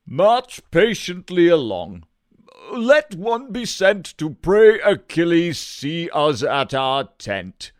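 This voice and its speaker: An actor playing a commanding, stately army leader in a measured Shakespearean audio drama performance. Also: male voice, fairly high pitch, sing-song, dry recording, clean studio sound. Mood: solemn hope.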